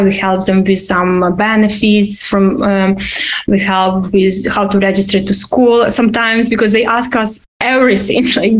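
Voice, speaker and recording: A woman with a Ukrainian accent answers interview questions as an attorney. Her voice sits at a middle pitch, and her answers come in halting stretches full of fillers and short laughs. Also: low-quality recording. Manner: halting, many fillers, laughs between phrases. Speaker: immigration attorney.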